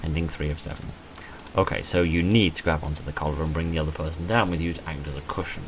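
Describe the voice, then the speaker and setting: A man's voice: very deep voice, steady tone, quick pace, slight background noise. A man reading visual novel game text aloud, mixed with casual, self-deprecating commentary.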